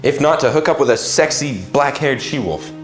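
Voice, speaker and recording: A man's voice: medium pitch, lively intonation, normal speed, lightly acted. A young man acting a loyal, persuasive werewolf second-in-command in an audio drama, talking casually. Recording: faint music bed behind the voice.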